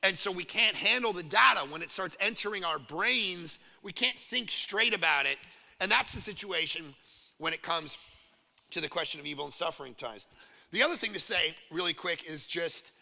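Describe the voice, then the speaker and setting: A man from Canada preaching a sermon as pastor, conversational and animated, with many asides to the congregation. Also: high-pitched, moderate pace, gravelly. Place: large church hall. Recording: long reverb of a large church hall.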